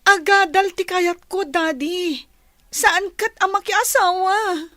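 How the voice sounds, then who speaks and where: A sad young ilocano daughter, radio play, really really high voice, pleading, dry close sound. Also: female voice, slow pace, sharp tone, no echo, full character voice. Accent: Ilocano Filipino. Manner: plaintive, pleading. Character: hurt, meek young daughter longing to study. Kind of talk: Filipino radio drama acting.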